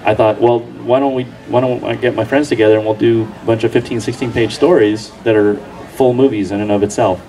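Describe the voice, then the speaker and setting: A man answering on a panel at an outdoor book fair, halting with many ums and restarts. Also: mid-range voice, steady tone, warm tone. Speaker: comics creator, panelist. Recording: distant outdoor mic.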